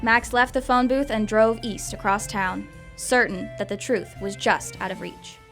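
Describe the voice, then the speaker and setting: A woman playing the storyteller of a noir audio drama, narrating scripted lines over clear music. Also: medium pitch, steady tone, measured pace, fairly bright tone. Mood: suspenseful. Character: female storyteller of noir detective mystery. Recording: music behind the voice.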